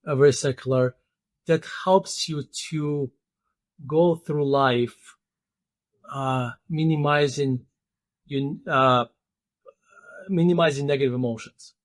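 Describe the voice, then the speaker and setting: A man in his fifties, a podcast guest explaining ideas thoughtfully with many pauses and restarts. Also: mid-range voice, fairly even intonation, no room sound. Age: 50s. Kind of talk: interview conversation.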